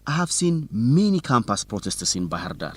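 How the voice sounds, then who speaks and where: An earnest, confident young student reporter in a radio drama. His mid-pitched voice swings with lively intonation and is recorded very dry. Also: moderate pace, breathy edge, no room sound. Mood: earnest, reassuring. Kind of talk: scripted educational radio drama dialogue.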